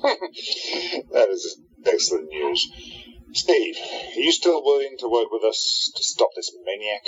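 A man's voice: on the low side, big pitch swings, slow, a bit bright, husky, full character voice. A man playing a confident time-traveling hero in an amateur audio drama, reassuring and authoritative. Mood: pleased, commanding. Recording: dull, muffled lo-fi recording.

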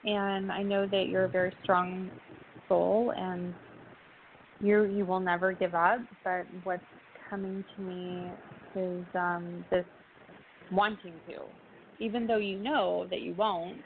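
Gentle woman medium giving readings, pretty monotone, phone audio, bit of background hiss. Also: lowish voice, takes it slowly. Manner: gentle, reassuring. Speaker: psychic medium guest. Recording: phone-line audio, faint noise.

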